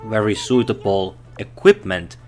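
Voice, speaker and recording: A man reviewing a game off the cuff, in no hurry at all. He pauses often, thinks aloud and corrects his own figures. Faint music plays underneath. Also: on the low side, very animated, a little mellow. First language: Finnish.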